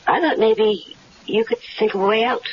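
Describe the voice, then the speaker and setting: A woman's voice: a little high, moderate pace, lightly acted. A woman voicing a scheming, seductive wife who coaxes a man toward murdering her husband, in a 1970s radio drama. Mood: coaxing. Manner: coaxing, persuasive. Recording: dull, faintly hissy 1970s radio recording.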